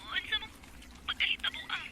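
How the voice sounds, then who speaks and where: A woman's voice: fairly quick, natural voice. An actress with a Cebuano Bisaya accent playing a hurt, reproachful young student girlfriend in a radio drama. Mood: hurt, upset. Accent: Filipino, Cebuano Bisaya. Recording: very noisy audio with bird chirps.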